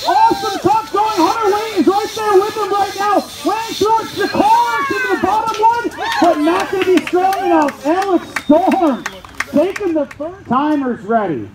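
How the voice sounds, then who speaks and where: A man giving loud, folksy, excited live sports commentary as an event announcer, hyping up the crowd. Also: extremely high pitch, normal speed, hardly any pauses. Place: outdoor festival event, heard over loudspeakers. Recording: echoey outdoor PA with crowd noise. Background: cheering crowd.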